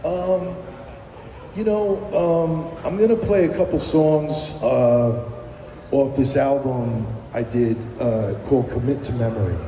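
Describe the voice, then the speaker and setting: Rambling middle-aged man, dark voice. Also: medium pitch, slow pace, slight background noise. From New Jersey. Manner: rambling, many fillers and restarts. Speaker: musician guest. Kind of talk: casual interview chat. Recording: echoey room with long reverb.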